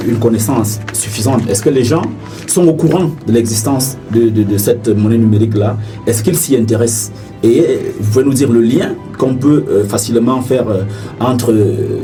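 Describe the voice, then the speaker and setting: A male radio host poses long, halting questions in a studio. His voice sits low and sounds set back from the microphone. Music plays clearly underneath. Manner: questioning, halting with fillers and restarts. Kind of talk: radio interview. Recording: distant mic with music behind.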